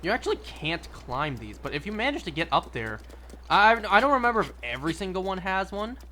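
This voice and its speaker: A man's voice: high-pitched, very animated, fairly quick, bright, forceful tone, slight background noise. A young man chatting casually and rambling through gameplay commentary, with wry little asides. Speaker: streamer.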